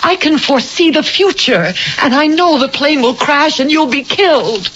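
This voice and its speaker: A woman's voice: sits high, lively intonation, normal speed, a bit bright. An American woman acting as an earnest, pleading seer of doom in a radio drama. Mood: anxious pleading. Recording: muffled old radio with hiss.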